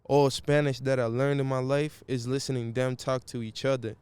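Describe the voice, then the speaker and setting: Guy chatting easy, smooth clean voice, slangy, lots of "you feel me". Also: medium pitch, level tone, moderate pace, a little mellow. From Brazil. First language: Portuguese. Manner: laid-back slangy talk, keeps saying "you feel me". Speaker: guest musician. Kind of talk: casual interview chat.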